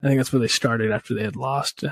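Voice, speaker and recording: A man's voice: mid-range voice, steady tone, quick pace, creaky, no echo. A man hosting a casual podcast, friendly and chatty, laughing easily and sometimes losing his words. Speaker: podcast host. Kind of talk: casual chat.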